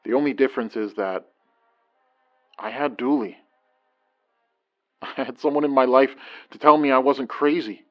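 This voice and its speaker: A man's voice: medium pitch, fairly even intonation, measured pace, pauses often, faint music behind, close to an everyday voice. Actor as a subdued, self-doubting man, muffled sound. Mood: troubled. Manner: subdued, hesitant, sincere. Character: Donner, reserved, self-doubting, devoted man. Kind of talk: naturalistic audio drama acting.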